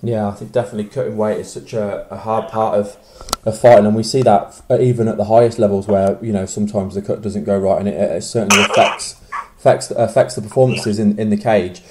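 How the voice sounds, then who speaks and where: A man hosting an interview, asking questions quickly and often restarting his words. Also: lowish voice, fairly even intonation, background noise. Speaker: interviewer host.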